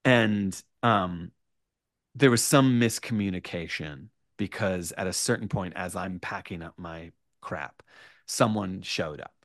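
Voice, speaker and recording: A man telling an animated anecdote as a podcast guest, excitable and self-deprecating, with casual swearing. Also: low voice, measured pace, dry recording, studio quality. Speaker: podcast guest, writer and storyteller.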